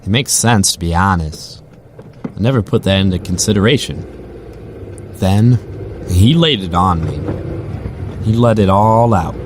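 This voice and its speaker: Guy narrating an audio drama story, lowish voice, car and city noise in the background. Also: a little slow, long pauses. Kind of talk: first-person scripted audio drama narration.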